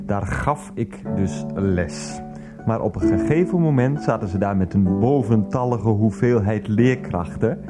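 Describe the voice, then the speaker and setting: An actor playing an aggrieved, sarcastic former schoolteacher who grumbles defensively in a comic radio play. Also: male voice, fairly low pitch, measured pace, lightly acted. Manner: defensive, repetitive, indignant muttering with filler phrases. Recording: music behind the voice.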